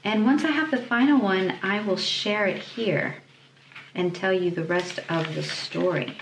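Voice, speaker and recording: A woman illustrator chatting casually on her vlog, hesitant, often pausing and repeating words as she thinks. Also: medium pitch. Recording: echoey room, clear background noise.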